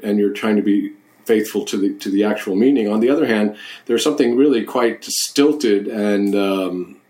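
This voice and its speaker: A man hosting a conversational interview, thoughtful and halting, with frequent restarts. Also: on the low side, darkish tone. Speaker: host.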